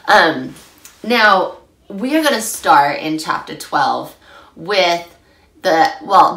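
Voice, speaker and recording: A young woman with a slightly rough, hoarse voice talks through a scripture lesson in a chatty, animated way. Her voice sits a little low but sounds bright and forceful. It is caught from some distance in an echoey room at home. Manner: chatty and animated, gesturing a lot. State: hoarse after illness. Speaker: scripture study host. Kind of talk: casual scripture lesson to camera.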